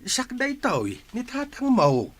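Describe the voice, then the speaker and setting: Man acting an animated, pleading worried father. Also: extremely high pitch, normal speed, a little hiss or hum, heavily acted. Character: worried, grieving father pleading with his troubled son. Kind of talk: Ilocano radio drama acting.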